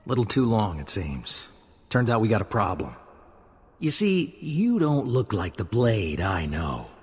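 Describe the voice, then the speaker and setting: An actor playing a smug, mocking thug in a video game. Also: male voice, fairly low pitch, breathy edge, in a large hall, exaggerated voice. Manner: mocking, taunting. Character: sly taunting thug, wary and smug.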